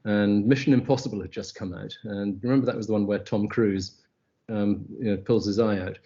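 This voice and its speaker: A middle-aged Scottish man from the Highlands, a company head telling stories in a casual interview, wry, with many ums and restarts. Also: steady tone, a little fast, warm tone, muffled recording. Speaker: agency CEO, guest. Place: South Africa, remote call.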